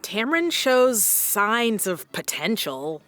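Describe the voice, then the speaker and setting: A woman's voice: mid-range voice, big pitch swings, slow, a bit bright. A woman playing a frazzled, conscientious small-town public radio host in a comedy audio drama, earnest and given to sighing. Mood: weary, worried. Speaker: radio host and station journalist.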